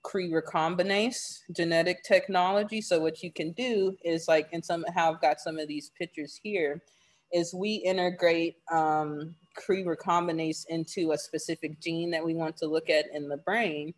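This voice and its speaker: A graduate student answering panel questions casually, with many fillers, over a video call. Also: male voice, a little high, fairly even intonation, moderate pace.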